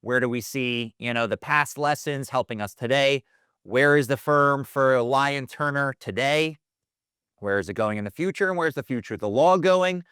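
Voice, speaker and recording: A man's voice: medium pitch, normal speed, a bit bright, clean tone, no echo, clean studio sound. A man hosting a podcast, introducing his guest and asking questions in a friendly, loose way. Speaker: podcast host. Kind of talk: casual interview hosting.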